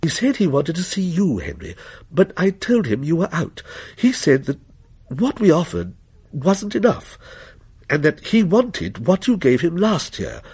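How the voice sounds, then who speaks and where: A man's voice: a little high, very animated, unhurried, a little mellow, husky, voice pushed up, exaggerated voice. A man voicing an anxious wife and mother, placating and pleading, in a dramatic ghost story reading. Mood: composed, placating.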